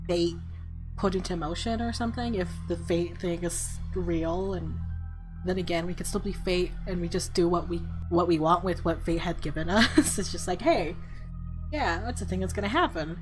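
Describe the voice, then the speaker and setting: A woman giving casual, rambling, excitable commentary on a game as she plays. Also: lowish voice, rough recording. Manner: rambling, excitable, laughs often. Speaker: game streamer. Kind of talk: casual playthrough commentary and reading aloud. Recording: music playing behind voice. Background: visual novel game music.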